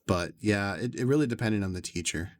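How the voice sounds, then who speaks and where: A man streaming a game from his bedroom, chatting to his viewers in long, rambling asides in an upper bass voice, through a desk microphone with noise suppression. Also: fairly quick, no echo. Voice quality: upper bass to lower tenor range. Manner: rambling, talks to chat in long winding asides. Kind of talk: casual chat while streaming a game. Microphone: desk USB condenser mic with noise suppression.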